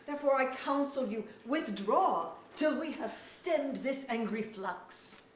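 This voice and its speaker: A woman's voice: high voice, lively intonation, slow. A woman playing an earnest, loyal royal counsellor in a staged reading of archaic verse drama. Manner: courtly archaic verse delivery. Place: indoor auditorium stage. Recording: echoey auditorium with faint noise.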